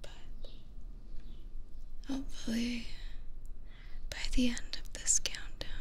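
A young woman doing a soothing ASMR roleplay, whispering slowly with drawn-out words, up close to the microphone. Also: fairly high pitch, flat delivery. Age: young adult. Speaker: ASMR creator. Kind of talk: ASMR roleplay, personal attention. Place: small indoor room.

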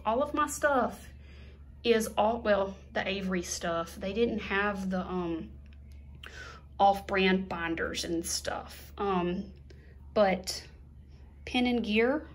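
A middle-aged woman chatting casually at her kitchen table, slowly listing the things she bought one by one. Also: medium pitch. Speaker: vlogger, mother of two. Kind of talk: casual haul chat.

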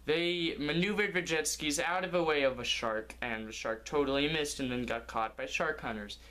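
A man's voice: fairly high pitch, moderate pace, pushed and bright, rough recording. A young man improvising a comic story as its narrator, recorded indoors in a living room. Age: young adult.

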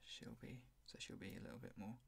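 A soft-spoken ASMR roleplayer playing a gentle horse veterinarian, speaking in a soothing, reassuring manner close to the microphone. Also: fairly quick.

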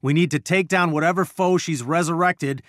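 An actor playing an earnest, brainy scientist and weary resistance leader, giving articulate, measured mission briefings in a video game. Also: male voice, on the high side, a bit bright, dry recording, studio quality, natural voice. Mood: grateful, resolute.

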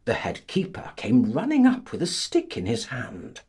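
A man narrating a literary audiobook in a theatrical, deliberate, scripted style, with a husky voice. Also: mid-range voice, huge pitch swings, darkish tone.

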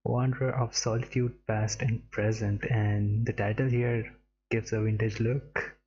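A man's voice: on the low side, little pitch movement, unhurried, darkish tone, lo-fi sound, slight background noise. A young man from India giving casual reaction commentary as a streamer, on a headset. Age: young adult. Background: game trailer music.

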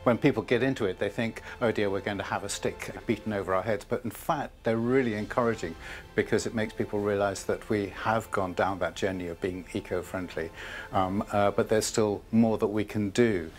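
A bishop in his sixties speaks in a measured, reflective manner. His voice sits low, with faint music underneath. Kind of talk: interview.

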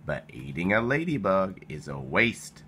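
A man reading a children's picture book aloud in an expressive storytelling style, animated and playful. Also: low-pitched, unhurried, slight background noise. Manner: animated, with playful exclamations and sound effects.

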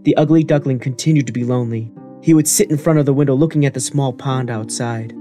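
A man's voice: mid-range voice, fast, mellow. A man reading scripted narration for a children's fairy tale. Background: music.

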